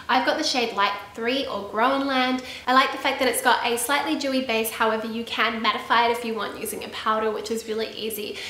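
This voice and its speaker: A woman's voice: fairly high pitch, fairly bright tone, roomy sound. A woman in her thirties with a stuffy head cold, chatting enthusiastically to camera about beauty products from a sofa at home. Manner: chatty and enthusiastic, drawn-out emphasis. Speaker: beauty vlogger. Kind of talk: casual product review to camera. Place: small room indoors, seated on a sofa.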